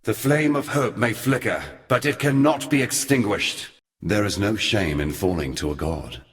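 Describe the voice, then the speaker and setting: A man playing an ancient, forgotten god in a video game, somber and weary, speaking solemnly and deliberately in archaic, portentous phrases. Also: fairly low pitch, a bit bright, long reverb tail, close to an everyday voice. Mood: stern exhortation. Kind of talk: scripted video game character dialogue.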